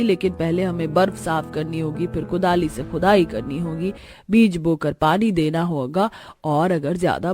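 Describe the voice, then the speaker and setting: A woman's voice: on the low side, darkish tone, close to an everyday voice. A woman voicing a devoted, earnest village mother of many daughters in a cartoon story.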